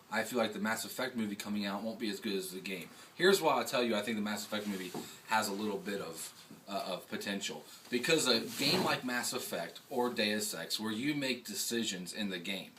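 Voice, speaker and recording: A man's voice: fairly low pitch, a little fast, bright, forceful tone. Guy doing a chatty vlog, emphatic questions, mic a bit far off, slight noise behind. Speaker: host. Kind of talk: casual vlog commentary and chat. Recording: distant mic, faint background noise.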